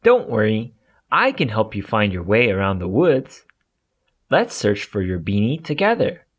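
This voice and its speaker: A man voicing a kind, helpful deer in a children's storybook, gentle and friendly. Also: on the low side, wildly swooping pitch, measured pace, full character voice. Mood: reassuring. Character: kind, warm-hearted helpful deer in the woods. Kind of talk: children's storybook read-aloud.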